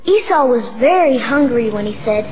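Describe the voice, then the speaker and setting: High-voiced woman narrating a children's Bible story. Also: lively intonation, moderate pace. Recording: dull audio with music behind. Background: music.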